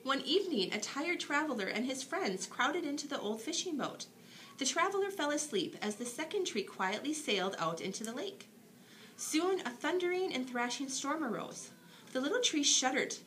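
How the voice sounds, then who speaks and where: Animated woman reading a bedtime story. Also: high voice, moderate pace. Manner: expressive, dramatic storytelling for children. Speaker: storybook reader. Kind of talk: bedtime story read aloud.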